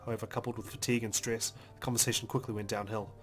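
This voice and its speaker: A man reading a video game's story aloud as a let's player, in an expressive, dramatic narrating style. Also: fairly low pitch, fast talker. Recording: music behind the voice.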